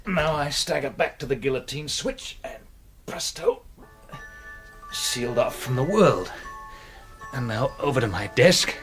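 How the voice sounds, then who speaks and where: A man as an animated, commanding British gentleman. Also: medium pitch, over music, natural voice. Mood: triumphant, showy. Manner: brisk, bossy, showman-like explaining. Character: commanding, theatrical, wily gentleman doctor turned amateur sleuth. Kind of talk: British radio drama acting.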